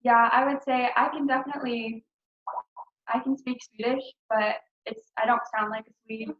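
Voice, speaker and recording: A woman's voice: on the high side, little pitch movement, normal speed. A young woman recounting her missionary years in a chatty, casual way, full of ums and likes and light laughs, speaking over a video call. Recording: roomy, dull call audio.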